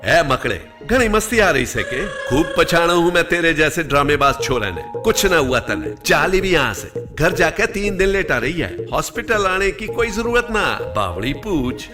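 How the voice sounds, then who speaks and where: Brusque high-pitched Haryanvi doctor played by a man. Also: big pitch swings, normal speed, few pauses, music in the background. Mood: scornful. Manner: blunt, scolding, colloquial. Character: brusque, bossy, mocking male hospital doctor. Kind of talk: Hindi cartoon story dubbing.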